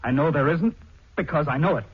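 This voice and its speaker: Forceful middle-aged husband, husky voice, emphatic delivery, protective, dull 1940s radio recording. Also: male voice, on the high side, brisk. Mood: firm, resolute. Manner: emphatic, blunt.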